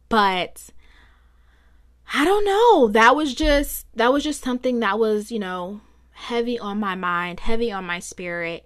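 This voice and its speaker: A woman's voice: medium pitch, a bit bright. A woman hosting a solo podcast, talking through her thoughts in a chatty, reflective way, her voice a bit strained from so much talking. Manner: chatty, reflective, rambling with fillers. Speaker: podcast host. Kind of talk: casual solo podcast monologue.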